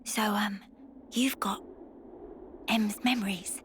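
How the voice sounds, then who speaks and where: A woman's voice: mid-range voice, fairly even intonation, takes it slowly, a bit bright, a little hiss or hum. An actress playing a cheeky, brash young woman in a video game, blunt and teasing. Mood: nosy, conspiratorial. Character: cheeky, brash, nosy young female party member. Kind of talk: video game cutscene acting.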